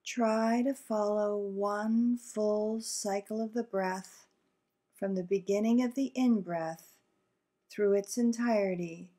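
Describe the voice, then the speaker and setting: Slow, mellow woman guiding meditation. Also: medium pitch. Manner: soothing, gentle, deliberate with long pauses. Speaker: meditation guide. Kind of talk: guided visualization meditation.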